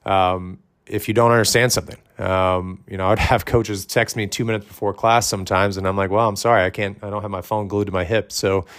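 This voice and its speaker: A man hosting a podcast, giving loud, direct coaching advice in casual talk, with many ums and restarts. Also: fairly low pitch, steady tone, fairly quick, clear, resonant tone. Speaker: fitness coach and podcast host.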